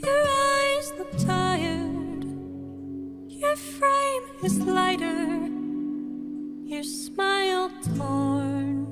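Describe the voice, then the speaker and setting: A woman's voice: extremely high pitch, lively intonation, very slow, exaggerated voice. A woman playing a tender, longing wife in a sung musical theatre ballad. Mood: wondering, tender. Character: tender, longing wife greeting long-lost husband. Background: loud music.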